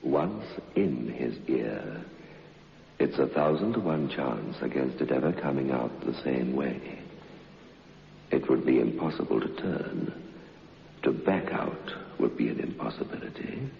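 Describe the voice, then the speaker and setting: A sinister husband in a vintage radio horror play. He is very low-voiced and animated, dripping false concern. The old recording sounds muffled, with faint noise. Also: measured pace, gravelly, exaggerated voice. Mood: slow, menacing. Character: scheming planter husband, falsely solicitous, coldly sinister.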